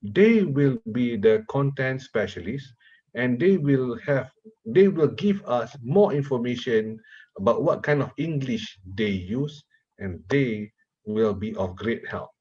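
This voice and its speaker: Slow-speaking Malaysian man lecturing. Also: mid-range voice, expressive, dark tone, husky, dull audio. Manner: slow, deliberate, repeats words while asking the audience questions. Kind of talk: university lecture.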